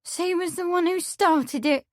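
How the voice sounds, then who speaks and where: A woman's voice: very high voice, steady tone, slow, no room sound, clean studio sound, voice pushed up. A woman voicing a young housemaid in an audiobook, tearful, scheming and lovestruck, with a tremulous, pleading manner. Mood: hurt, indignant.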